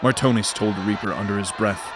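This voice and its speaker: Male fantasy narrator, measured and dramatic. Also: fairly low pitch, fairly even intonation, over music, crowd noise.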